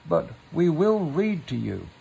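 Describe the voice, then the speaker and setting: A man reads a kindly storybook boy, gentle and affectionate. The delivery is slow and sensible, with a very creaky, husky tone. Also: a little high, very animated, pitched above the performer's own voice, exaggerated voice, low-quality recording.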